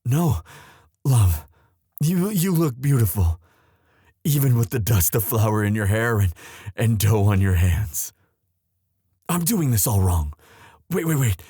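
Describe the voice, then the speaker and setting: A tender young crown prince, acted by a man for a romantic audio drama, speaks in a low, dark voice with a formal, courtly manner. He is earnest and devoted, halting as feeling takes over, and the sound is close and very dry. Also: very animated, slightly rough, dry recording, heavily acted. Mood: flustered, eager.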